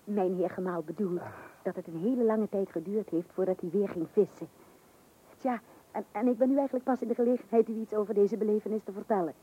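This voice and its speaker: An actress playing a composed, bossy wife who narrates in a radio play, with a husky voice. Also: female voice, mid-range voice. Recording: thin, phone-like old radio recording.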